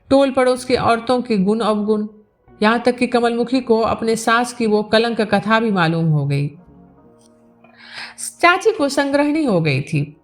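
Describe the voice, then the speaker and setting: A woman narrates literary fiction as a storyteller. Her voice sits fairly high, and music plays behind her as she reads from the prepared text. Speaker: storyteller reading a novel aloud. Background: background music.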